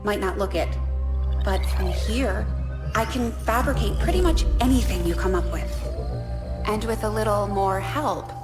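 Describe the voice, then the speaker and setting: An actress playing a young, determined chief engineer in a video game, plainspoken and earnest. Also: female voice, mid-range voice, expressive, unhurried, natural voice. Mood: proud, determined. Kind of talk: video game cutscene acting. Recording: echoey reverberant space, music behind. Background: music.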